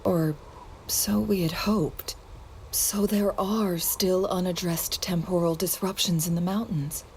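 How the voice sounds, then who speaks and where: Composed noble lady advisor from a game, lowish voice, very measured and formal. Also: fairly even intonation, a little mellow, a little hiss or hum, rough recording. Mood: calm, measured. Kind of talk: video game voice acting.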